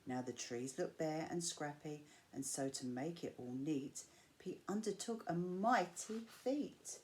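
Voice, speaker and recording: Unhurried woman reading a children's story. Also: deep voice, animated, a little mellow, poor audio. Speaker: storyteller reading to school pupils. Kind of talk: picture-book read-aloud in rhyming verse. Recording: clear background noise.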